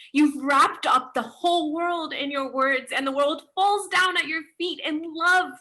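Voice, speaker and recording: A woman's voice: sits high, pushed and bright. A woman acting an anguished, volatile author in a stage play reading, impassioned and pleading, repeating her words with emphasis.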